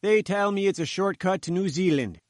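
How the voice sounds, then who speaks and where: Man voicing fat cheeky reporter, high pitched, sputtering, dry close sound. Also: normal speed, dry recording, natural voice. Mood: pleading, cheeky. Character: fat little pushy male reporter.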